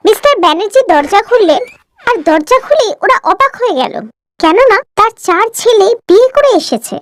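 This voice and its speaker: A woman's voice: very high-pitched, animated, brisk, fairly bright tone, slight background noise. A woman narrating a scripted cartoon story.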